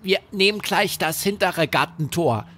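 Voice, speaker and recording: Young boy , acted by a man: high, slow, simple earnest talk, a little worried, bedtime audio story. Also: lively intonation, fairly bright tone, full character voice. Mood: matter-of-fact.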